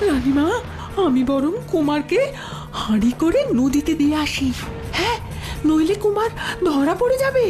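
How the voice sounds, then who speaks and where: A woman's voice: high voice, expressive, a little slow, long pauses. A woman playing a devoted, anxious palace maidservant in a fairy-tale audio story, pleading and dramatic. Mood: panicked, tearful. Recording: music under the voice.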